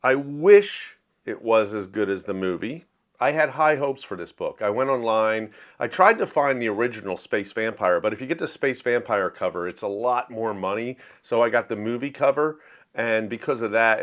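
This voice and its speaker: A man's voice: medium pitch, fairly bright tone. A man hosting a casual book review, speaking to camera in a plainspoken, opinionated, conversational way. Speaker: host of a book review show.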